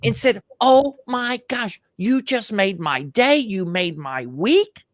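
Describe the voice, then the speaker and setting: A man with a high voice for a man, telling stories as an interview guest with emphatic, animated delivery and frequent restarts. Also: slow, no echo, studio quality. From United States. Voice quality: higher-pitched male voice. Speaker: podcast guest. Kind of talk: enthusiastic interview storytelling.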